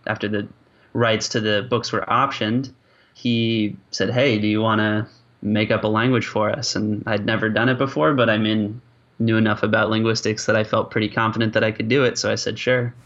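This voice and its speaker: A man's voice: fairly low pitch, flat delivery, normal speed, fairly bright tone. A man who grew up with French and English, answering interview questions conversationally as a linguist, often circling through asides. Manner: hesitant starts, peppered with "you know" and "like". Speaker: linguist and language creator being interviewed. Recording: slightly dull, lo-fi audio.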